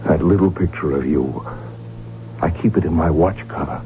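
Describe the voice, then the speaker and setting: An actor in a radio drama playing a lovestruck, determined royal heir who speaks earnestly and formally. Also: male voice, rumbling low, moderate pace. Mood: tender, determined. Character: lovestruck, determined Habsburg archduke, heir to the throne. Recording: dull vintage radio broadcast with music.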